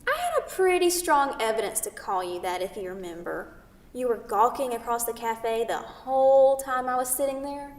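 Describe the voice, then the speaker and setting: A woman in a staged play reading, playing a sharp-tongued, sarcastic young woman who scolds emphatically. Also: on the high side, big pitch swings, moderate pace. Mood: dry, needling. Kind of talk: staged reading of a comedic two-person play. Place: theatre stage, picked up from a distance. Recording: echoey theatre hall, distant microphone.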